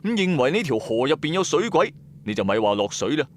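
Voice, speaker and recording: A man's voice: fairly high pitch, sing-song, brisk, slight background noise. A man with a Cantonese accent narrates a first-person ghost story in a colloquial, dramatic, suspenseful way. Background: music.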